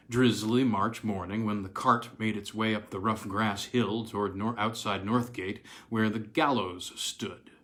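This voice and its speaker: A man narrating a classic ghost story as a literary audiobook, reading expressively in a measured way with deliberate pauses. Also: mid-range voice.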